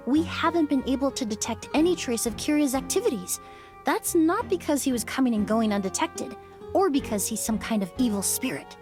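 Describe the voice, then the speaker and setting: An actress playing a composed, analytical young female investigator in a video game, explaining in a measured, precise way. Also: high voice. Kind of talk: video game voice acting, expository.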